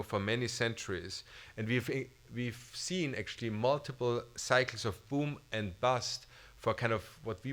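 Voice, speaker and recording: A man's voice: on the low side, fairly bright tone. A middle-aged man with an Austrian German accent, a museum director giving a formal welcome speech on an auditorium stage at a lectern microphone, often restarting and hesitating. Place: auditorium stage under bright lights.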